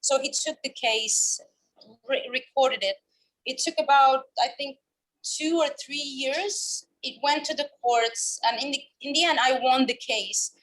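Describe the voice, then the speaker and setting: A woman's voice: high voice, unhurried, fairly bright tone, rough recording. A woman in her thirties from Finland, speaking on a video call as a webinar panelist and telling her story candidly, with fillers and restarts. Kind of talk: conversational storytelling.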